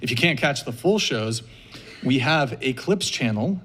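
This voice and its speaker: A man hosting a podcast, running through show announcements briskly with deadpan jokes. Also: medium pitch, breathy edge. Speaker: podcast host. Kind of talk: rapid-fire show announcements.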